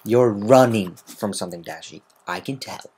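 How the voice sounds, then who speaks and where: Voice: sits low, normal speed, darkish tone, slightly rough. A reader voicing a teasing, sardonic female griffin who is secretly fond and caring, in a dramatic fan fiction reading. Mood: concerned, insistent.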